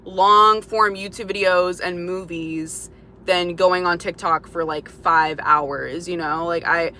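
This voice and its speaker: A woman's voice: lowish voice, steady tone, slow, sharp tone, slight background noise. A young woman chatting casually on a livestream, rambling with lots of "like" and restarts. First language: English, also speaks Lithuanian. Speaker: YouTuber.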